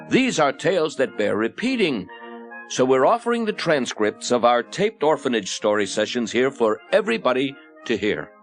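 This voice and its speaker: A man with an American accent narrating a satirical storybook in a mock-earnest, theatrical way. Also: mid-range voice. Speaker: audiobook narrator.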